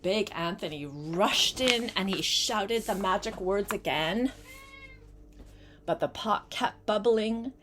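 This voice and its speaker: An older woman, a grandmother, reading a storybook aloud in an expressive way and chatting directly to a child as she goes. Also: medium pitch, slow, background noise. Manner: animated, addresses the young listener with questions.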